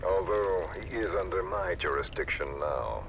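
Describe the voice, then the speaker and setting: Noisy tape of a shadowy scheming operative with a low voice. He speaks deliberately, brooding and insinuating, probing as he goes, as in a video game cassette drama. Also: animated, gravelly.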